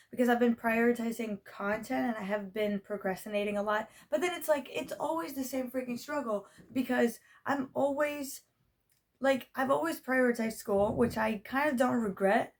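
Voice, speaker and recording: A young adult woman chatting casually in a vlog, with a slightly raspy voice and a rambling, self-interrupting manner. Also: a little high, steady tone, normal speed. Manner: rambling, self-interrupting, frequent check-in tags. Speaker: vlogger.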